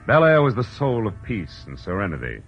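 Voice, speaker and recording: An American actor playing a wry, world-weary private detective in clipped 1940s radio style. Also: male voice, lowish voice, wildly swooping pitch, brisk. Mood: wry narration. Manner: wry, clipped, hardboiled delivery. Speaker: lead actor and narrator. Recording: dull old radio recording, music behind.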